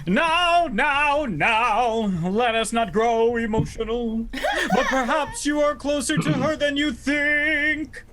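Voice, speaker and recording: A man's voice: very high voice, a bit bright, heavily acted. A man playing a scheming, mock-courteous villain in a 1940s-style radio play, silky and condescending. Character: scheming, mock-courteous mad villain leader of warring vegetables.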